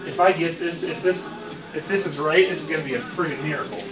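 Young male host, practical and even, roomy garage sound with music behind. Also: a little high, quick pace, distant mic. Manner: practical, questioning, matter-of-fact. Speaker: car restorer and show host. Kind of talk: casual workshop chat. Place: garage workshop.

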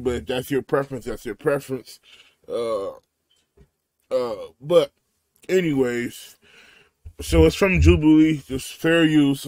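A man's voice: medium pitch, dry recording. A man hosting a reaction video, talking casually and slangily straight to his viewers. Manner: laid-back, slangy, speaks straight to viewers with frequent "y'all".

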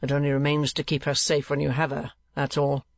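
A man voicing a cold, sardonic moneylender in a storybook reading, with dry, contemptuous, deliberate mockery. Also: fairly high pitch, monotone, gravelly, no echo.

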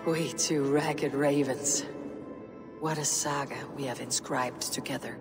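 An actor playing a weary Viking warrior haunted by a vision, speaking solemnly and reflectively. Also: male voice, medium pitch, fairly even intonation, measured pace, close to an everyday voice. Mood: wistful. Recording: reverberant game mix with music.

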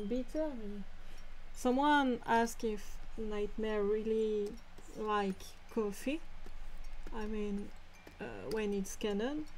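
Slow, hesitant French-accented woman. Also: mid-range voice, darkish tone, a little hiss or hum, poor audio. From France. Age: young adult. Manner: hesitant, searching for words, apologetic. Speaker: live-stream artist host. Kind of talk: casual live-stream chat while drawing.

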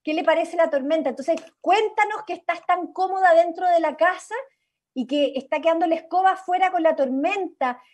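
High-pitched Chilean woman coaching actors. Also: normal speed. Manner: encouraging, directing. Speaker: workshop facilitator and director. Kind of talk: improvised coaching in an online drama workshop. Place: indoors at home, on a video call. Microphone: video call.

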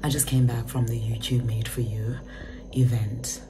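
Hoarse, half-whispering woman with very low voice. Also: level tone, normal speed, background noise. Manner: chatty, recounting an event. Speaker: vlogger. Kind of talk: casual vlog chat to camera. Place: bedroom, indoors. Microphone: phone held close to face.

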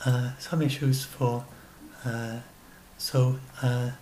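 A man's voice: medium pitch, level tone, slow pace, dark tone, slight background noise. Rambling older man summarising at home. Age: 60s. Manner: rambling, self-correcting. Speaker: science blogger. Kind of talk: casual solo commentary. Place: small room at home. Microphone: webcam at desk.

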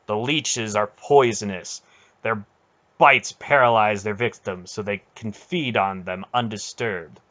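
A man's voice: fairly low pitch, measured pace, bright, forceful tone. A man voicing a stern, imperious ancient sorceress in an audiobook, commanding and cutting, with wounded intensity. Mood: cold, flat. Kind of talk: dramatic audiobook character voice.

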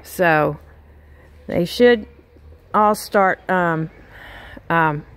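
A woman's voice: on the low side, very slow. A middle-aged woman with a Southern accent, chatting casually to her phone camera outdoors on her farm, folksy and full of fillers. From Texas. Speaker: farm vlogger. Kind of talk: casual vlog narration. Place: outdoors on a rural farm, by a chicken pen. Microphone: handheld phone camera.

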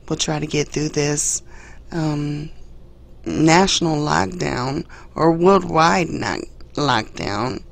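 Hoarse, low-voiced woman vlogging. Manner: soft-spoken with frequent ums. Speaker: channel host. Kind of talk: casual cooking vlog talk. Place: home kitchen at the stove. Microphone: camera mounted above the stove.

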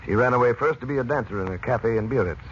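An actor playing a brisk, authoritative and protective uncle in a 1930s radio adventure serial. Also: male voice, medium pitch, lightly acted. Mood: calm, serious. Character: commanding, protective uncle, adventurous American man. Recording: dull, noisy 1930s radio recording.

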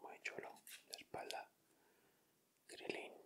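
Guy from spain w spanish accent doing asmr, all whispery and bright, little casual murmured comments. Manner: soft, unhurried, murmured short remarks. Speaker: ASMR video host. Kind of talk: whispered ASMR, casual commentary while opening sticker packs.

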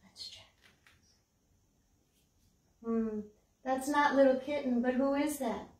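Casting: older American woman, children's storytime host, fairly high voice, unhurried, recorded roomy and a little distant. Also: steady tone, darkish tone. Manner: simple, encouraging questions addressed to young children. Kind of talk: children's storytime with puppets, songs and read-aloud. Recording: roomy, distant mic, background hiss.

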